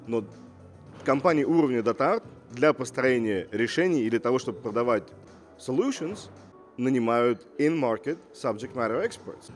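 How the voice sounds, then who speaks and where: A man who grew up in Russia, speaking as a guest entrepreneur in an interview, assertive and fond of rhetorical questions. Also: medium pitch. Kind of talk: casual interview talk.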